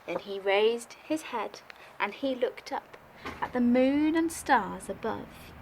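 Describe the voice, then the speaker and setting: Expressive woman reading a children's story. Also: on the high side, slow pace, a little hiss or hum, rough recording. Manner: expressive read-aloud. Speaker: primary school teacher reading to pupils.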